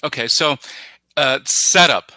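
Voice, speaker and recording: A middle-aged man talks as a podcast guest. His voice is very bright and forceful at a middle pitch, and he searches haltingly for phrases, with a dry, close sound. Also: no echo. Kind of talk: casual film analysis. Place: plain room at home, on a video call. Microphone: headset.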